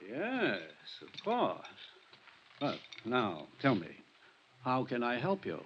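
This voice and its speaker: A man's voice: mid-range voice, huge pitch swings, takes it slowly, a little hiss or hum. A man acting in a 1950s radio drama as a wealthy, genteel, evasive homeowner, speaking in a polite, formal, slightly haughty way. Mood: guarded, courteous. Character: genteel, wealthy, evasive male mansion owner. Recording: muffled 1950s radio recording.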